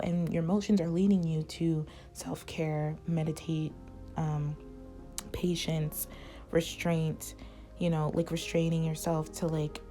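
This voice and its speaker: A woman's voice: sits low, mellow. A woman doing a casual tarot reading, rambling with lots of fillers and losing her voice. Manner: rambling, many "like" fillers, advising tone. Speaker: tarot reader.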